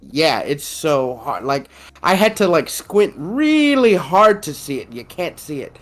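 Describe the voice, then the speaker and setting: A woman's voice: low-pitched, big pitch swings, a little slow, faint music behind. Exasperated, opinionated woman co-host. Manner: opinionated, exasperated, repeats herself. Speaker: podcast co-host. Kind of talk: casual chat.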